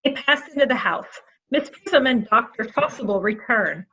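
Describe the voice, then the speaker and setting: A woman with a husky voice reading scripted stage directions as narrator, on a lo-fi recording. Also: on the high side, animated, normal speed, sharp tone. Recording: dull, lo-fi microphone sound.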